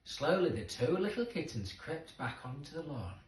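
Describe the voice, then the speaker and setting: Animated male storyteller in an echoey room. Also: fairly high pitch, moderate pace. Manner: expressive, dramatic emphasis for young listeners. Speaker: storybook reader. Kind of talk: children's story narration. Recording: roomy echo, distant microphone.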